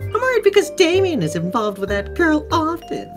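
A woman's voice: high-pitched, wildly swooping pitch, moderate pace, soft background music. A woman voicing a dramatic, gossipy schoolgirl in a comic dub, girlish and exclamatory. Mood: eager.

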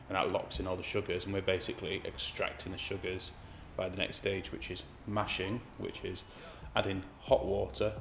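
A man around forty speaks in a very low voice with little movement in pitch. He explains his work as a brewer to a documentary interviewer. The room gives his voice clear echo. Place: brick-walled room indoors. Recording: roomy echo, music underneath.

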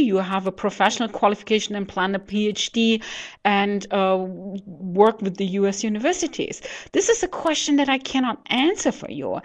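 Halting middle-aged woman, non-native English speaker. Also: fairly high pitch. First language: not English. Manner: halting, hesitant, searching for words. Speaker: education adviser, webinar presenter. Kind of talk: online presentation answering audience questions. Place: indoors in an office, on a webcam. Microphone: headset microphone.

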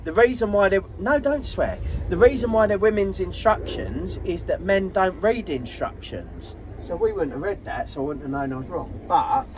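British male vlogger, middle-aged, lively swooping delivery, jokey banter, casual, noisy on-location camera sound. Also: sits high, moderate pace, hardly any pauses. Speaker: vlog host. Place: camper van, farm yard and outdoor spots. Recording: clear ambient noise on camera audio.

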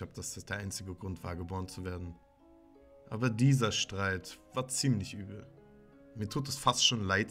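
A man's voice: deep voice, a bit bright. A man playing a sullen, troubled teenage son, bitterly narrating his own horror story in a dramatic way. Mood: bitter, brooding. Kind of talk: scripted first-person horror narration. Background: music.

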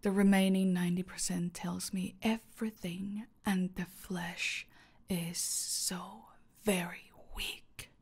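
A woman acts out a female crime boss in an audio roleplay, seductive and utterly in command. She speaks extremely slowly, with a husky, breathy voice that often sinks into whispers. A crackly fry edges her haughty, menacing phrases. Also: lowish voice, little pitch movement, darkish tone, full character voice. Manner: slow, menacing, haughty, purring endearments. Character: seductive, domineering female cartel boss villain. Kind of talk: scripted roleplay audio acting.

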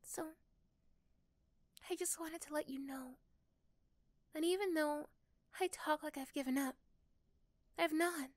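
Woman doing a caring flustered girl next door for asmr roleplay, high voice, emotional and halting. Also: no room sound. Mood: tender, grateful.

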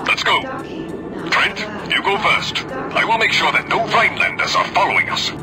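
A man voicing a steady, protective space pilot who speaks in a calm, formal way over radio chatter in a game. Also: high-pitched, normal speed, over loud music, close to an everyday voice. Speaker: wingman ally in a space game.

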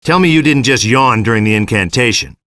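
A man playing a gruff, cocky, wisecracking monster hunter in an action-comedy video game, deadpan and swaggering. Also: mid-range voice, brisk, dry recording, clean studio sound. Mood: sarcastic.

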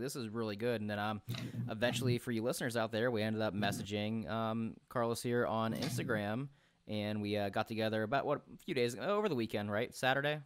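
Fast-talking young man, rambling host. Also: medium pitch, clean tone, no echo. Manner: fast, rambling, many fillers. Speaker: podcast host. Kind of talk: casual video call chat. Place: small room at home. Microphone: headset with large studio mic.

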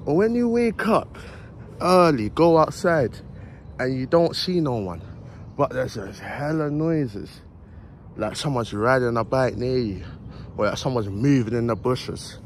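A young man with a London accent chatting in slang to his phone camera while out jogging, with lively asides. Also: medium pitch, measured pace, a little hiss or hum. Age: young adult. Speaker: vlogger. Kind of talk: casual vlog talk to camera. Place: outdoors in a park and streets at dawn, also a bedroom. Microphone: phone held at arm's length.

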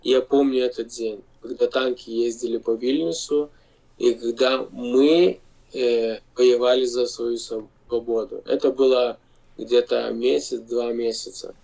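A man in his forties from Lithuania with a Lithuanian accent, speaking Russian as a non-native, insistent and halting with pauses, over a video call. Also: medium pitch, steady tone, gravelly, dark tone, poor audio. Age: 40s. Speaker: video-chat guest. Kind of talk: heated video-chat argument.

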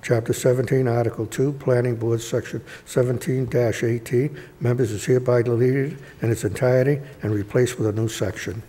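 A man reading agenda items aloud at a city council meeting, in a steady, measured recitation at a desk microphone in a wood-paneled chamber. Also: mid-range voice. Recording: reverberant chamber with faint hiss.

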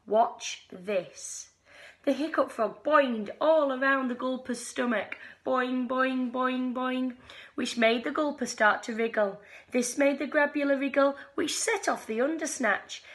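A woman in her thirties reading a children's picture book aloud expressively, with dramatic pauses and drawn-out key words. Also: sits high. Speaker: storyteller reading a bedtime picture book for schoolchildren. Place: small living room at home, indoors.

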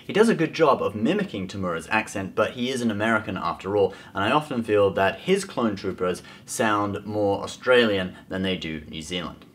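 A young man with a low voice presents a scripted video to camera. He talks as the host, explaining things point by point. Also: animated, normal speed, hardly any pauses, a bit bright. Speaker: host of a film trivia video. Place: small indoor room at home.

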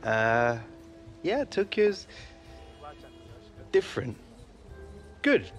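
A young male footballer in a game cutscene, a proud and warm big brother. He talks slowly with a very lively, swinging pitch, over faint music. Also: heavily acted. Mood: relaxed. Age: young adult. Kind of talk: naturalistic game cutscene acting.

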